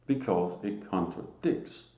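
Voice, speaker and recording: A man from Denmark with a Danish accent, giving a solo religious talk to camera in a halting, emphatic way. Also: low-pitched, dark tone, reverberant. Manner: slow, halting, emphatic, with short laughs. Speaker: lay preacher.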